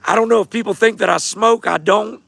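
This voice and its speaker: Hoarse guy with a really gravelly voice, kinda high, dry close sound, just chatting w his fans. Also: brisk, a bit bright, dry recording. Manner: laid-back, repeats himself, talks straight to viewers. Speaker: host of a fight show answering viewer questions. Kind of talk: casual livestream chat.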